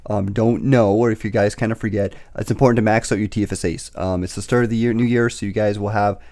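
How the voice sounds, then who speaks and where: A young man in his twenties with a raspy voice, still getting over being sick, giving a casual investing update from his bedroom into a desk mic. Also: fairly low pitch, fairly even intonation, darkish tone. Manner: quick, filler-heavy talk. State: recovering from illness, slight cough. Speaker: investing YouTuber. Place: bedroom, indoors. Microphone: desk microphone on boom arm.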